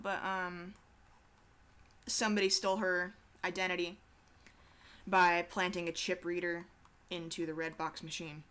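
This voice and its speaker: Teen girl rambling on while drawing at her desk, bright clear voice, swears a lot, pokes fun at herself. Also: lowish voice. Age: teens. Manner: chatty, swears casually, self-deprecating asides. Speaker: artist streaming a drawing session.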